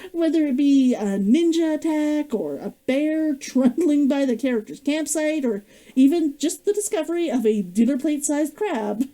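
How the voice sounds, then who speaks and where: A woman's voice: sits high, warm tone. A woman author reading her own novel aloud, then chatting about writing and laughing often. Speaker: author and streamer.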